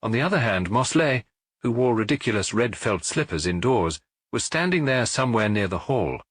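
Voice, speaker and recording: A man giving an expressive scripted narration of a detective story as an audiobook narrator. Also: mid-range voice, normal speed, no room sound.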